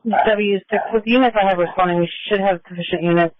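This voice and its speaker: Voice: medium pitch, fairly even intonation, fast, narrow, tinny audio, a little hiss or hum. A police officer on scene giving terse tactical updates over a scanner radio in a businesslike manner with clipped call signs. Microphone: two-way radio.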